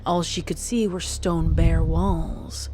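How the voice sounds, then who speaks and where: A woman reading a dark story as dramatic scripted narration. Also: fairly low pitch, fairly even intonation, takes it slowly, over music. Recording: clearly noisy background.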